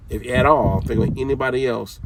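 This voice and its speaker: A man's voice: medium pitch, a little fast, far from the mic, heavy background noise. A man giving an informal, scolding talk with casual slang, often repeating his phrases.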